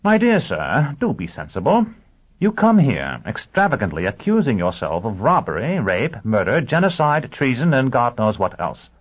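A man's voice: medium pitch, wildly swooping pitch, moderate pace, breathy edge, pitched above the performer's own voice. Guy doing a snappy doubting lawyer in an audiobook, swoops up and down, sounds like down a phone line. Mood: incredulous. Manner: incredulous, clipped questioning, pompous at times. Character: brisk, skeptical, sharp-tongued male lawyer.

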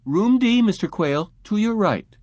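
Guy putting on a high sweet receptionist lady voice, polite and pleasant. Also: animated, moderate pace, darkish tone, no echo, highs cut off. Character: polite young female receptionist.

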